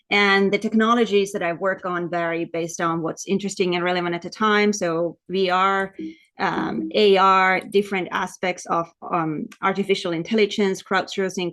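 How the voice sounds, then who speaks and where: A woman's voice: fairly low pitch, fairly bright tone. A woman from Finland with a Finnish accent, presenting like an academic lecturer on a video call, explaining and often repeating words as she goes. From Lapland, Finland. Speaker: academic researcher presenting at a webinar.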